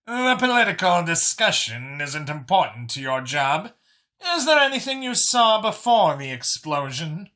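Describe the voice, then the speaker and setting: A man's voice: on the high side, very animated, measured pace, pushed and bright, pitched above the performer's own voice, full character voice. A man voicing a composed, businesslike alien woman who sits as a council official in a science fiction audiobook. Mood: firm, measured. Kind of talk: audiobook character acting.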